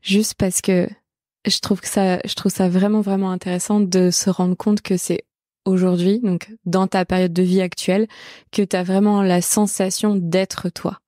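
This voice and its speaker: Mellow-voiced woman hosting a podcast. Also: medium pitch, little pitch movement, unhurried, dry recording, studio quality. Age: late 30s. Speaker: podcast host. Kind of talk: podcast intro and intimate conversation.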